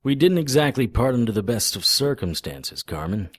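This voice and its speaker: An actor playing a wisecracking, world-weary occult investigator, narrating and trading lines in a noir-style audio drama. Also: male voice, medium pitch, sing-song, normal speed, no echo. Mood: guarded. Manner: sardonic, flippant.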